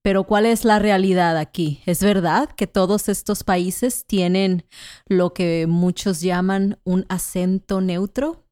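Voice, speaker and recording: Slow-speaking Mexican woman podcaster. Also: medium pitch, steady tone, dry recording, studio quality. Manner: measured, often affirms with soft murmurs. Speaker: podcast co-host. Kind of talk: slow, clear conversational chat for learners.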